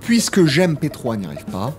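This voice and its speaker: Man playing an animated, exasperated office slacker. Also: mid-range voice, a little fast, over music. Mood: agitated. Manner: hesitant, stammering, grumbling. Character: exasperated, grumbling male slacker start-up employee. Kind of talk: comedic audio drama.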